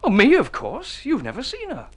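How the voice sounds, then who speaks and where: An actor playing a vain, boastful, excitable suitor, blustering and self-satisfied, in a vintage radio drama. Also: male voice, extremely high pitch, normal speed, full character voice. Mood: boastful, excited. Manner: self-satisfied, blustering, hesitant when flustered. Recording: husky old radio recording with music.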